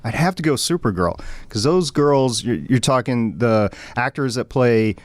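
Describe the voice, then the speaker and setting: A man's voice: mid-range voice, animated, normal speed, no echo. A man telling a story with enthusiasm on a podcast roundtable, repeating words as he goes. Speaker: podcast panelist. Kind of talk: casual roundtable chat. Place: radio studio.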